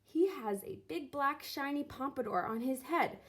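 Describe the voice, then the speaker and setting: A woman reading a children's book aloud expressively, with theatrical, deliberate phrasing and drawn-out words. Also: sits high, expressive. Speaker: reader of a children's picture book, teacher. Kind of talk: expressive storybook read-aloud.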